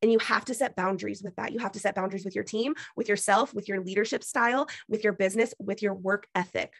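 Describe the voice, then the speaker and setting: A woman lectures her team on a video call. She is emphatic and preachy, drives her points home with repetition, and her voice is going hoarse. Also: mid-range voice, steady tone, quick pace, no echo, clean studio sound. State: losing her voice. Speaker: business team leader. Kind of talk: motivational pep talk on a video call.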